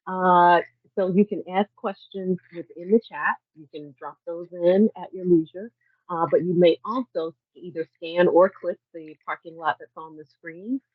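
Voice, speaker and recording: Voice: mid-range voice, fairly even intonation, a little slow, dry recording. Upbeat chatty webinar co-host. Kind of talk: hosting a webinar. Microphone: video call. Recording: dull, dry video-call audio.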